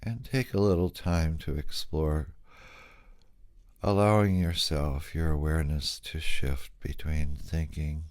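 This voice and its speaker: A man guiding a meditation in an extremely deep voice. He speaks slowly and calmly, posing gentle questions with long pauses between phrases. The sound is dry and close, with no room echo. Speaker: meditation guide. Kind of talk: guided meditation.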